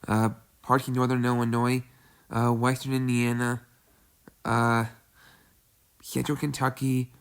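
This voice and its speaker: A man with a stuffy, congested voice hosting a casual severe weather briefing, halting and repeating himself with many fillers. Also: medium pitch, clear, resonant tone. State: congested from a cold. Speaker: weather video host. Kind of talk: casual weather briefing over outlook maps.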